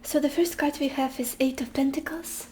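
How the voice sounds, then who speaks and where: A woman giving a casual pick-a-card tarot reading while losing her voice, speaking gently and reassuringly straight to the viewer. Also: high-pitched, fairly even intonation, normal speed, slight background noise. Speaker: tarot reader.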